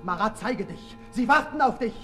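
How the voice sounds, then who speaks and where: Very high-voiced fervent revolutionary agitator. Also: male voice, lively intonation, normal speed. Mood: exalted, urgent. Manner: declamatory, loud, exalted crying out. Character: fervent revolutionary agitator calling Marat to rise. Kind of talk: 1960s German radio play acting. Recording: 1960s radio recording, reverberant hall with music.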